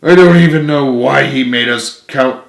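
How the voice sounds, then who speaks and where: A man's voice: medium pitch, moderate pace, gravelly, pitched above the performer's own voice, close to an everyday voice. A man voicing a huge, strong, heartbroken and self-doubting man in a storybook, emotional and hopeless in manner. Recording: roomy, echoing room sound.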